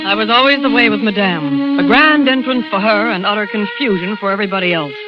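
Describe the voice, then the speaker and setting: A woman's voice: medium pitch, fairly quick, close to an everyday voice. An actress narrating as a female stand-in in a wry, confiding manner, in 1940s radio drama style. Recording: lo-fi old radio broadcast with music.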